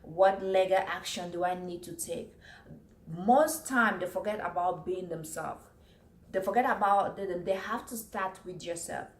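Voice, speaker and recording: A woman's voice: lowish voice, measured pace. A woman from Cameroon, giving business tips to camera in an earnest way, repeating her points and working from notes. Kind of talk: casual talk to camera. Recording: roomy echo, faint background noise.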